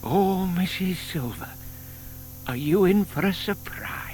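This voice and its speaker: An actor playing a shy, lovestruck older British gentleman in an audio drama, polite, old-fashioned and eager. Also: high-pitched, lively intonation, slow, a little hiss or hum. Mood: gleeful anticipation.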